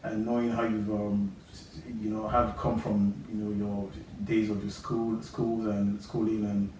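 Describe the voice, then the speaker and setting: Male podcast host, lower voice, halting filler-heavy delivery, distant roomy recording. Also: little pitch movement, darkish tone. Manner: halting, many fillers and restarts. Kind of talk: casual interview chat. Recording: roomy, distant mic, faint noise.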